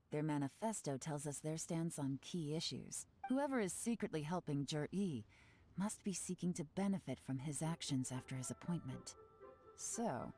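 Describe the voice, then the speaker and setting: A cool, sharp-minded female investigator from a video game. Her low, mellow voice stays calm and measured as she reasons things out, and soft game music sits faintly behind her. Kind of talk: game voice acting.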